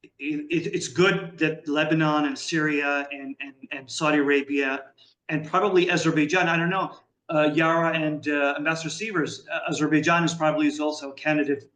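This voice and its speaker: Guy on a video panel, bit high-pitched, echoey room, stammers into long winding sentences. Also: steady tone, fairly quick, a bit bright. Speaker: panel guest. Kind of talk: panel discussion.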